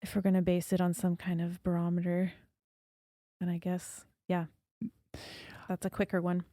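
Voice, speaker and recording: A young woman, a singer-songwriter guest, talking casually and thoughtfully in a podcast interview, soft-spoken with many fillers. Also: on the low side, completely flat delivery, normal speed, no echo, studio quality.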